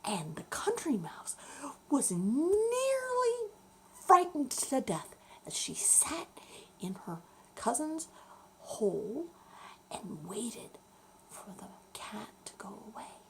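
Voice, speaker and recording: A woman tells a bedtime story for children in a lightly high voice. Her delivery is slow and deliberate, with expressive, swooping intonation as she explains things to young listeners. A faint noise sits behind her. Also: rough recording.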